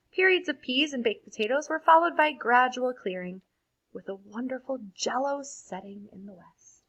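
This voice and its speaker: Woman reading aloud to children, slightly creaky voice. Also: a little high, rough recording. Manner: expressive storytelling delivery, explains simply to children. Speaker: read-aloud storyteller for children. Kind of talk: read-aloud picture book narration with casual asides to young listeners.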